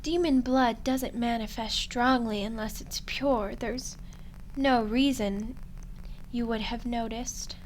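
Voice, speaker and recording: An ancient demoness, played by a woman for a roleplay ASMR drama, speaks in a slightly high voice at a slow, deliberate pace. She is smug and condescending, with cool menace and dramatic pauses. Some background noise sits under the recording. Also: fairly even intonation, low-quality recording. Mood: musing, revealing.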